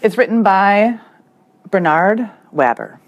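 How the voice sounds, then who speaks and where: A woman teacher reading a children's story aloud expressively, with dramatic emphasis and deliberate phrasing. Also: medium pitch, lively intonation, muffled recording. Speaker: third grade teacher.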